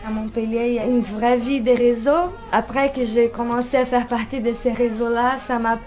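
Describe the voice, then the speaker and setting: A woman in her twenties from Brazil answers interview questions in French, her second language. Her voice sits a little high, and she takes her time, sometimes repeating a word before going on. Faint music plays behind her. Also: level tone, darkish tone. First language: Portuguese. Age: 20s. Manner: unhurried, searching for words with repeats. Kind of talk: casual interview at home.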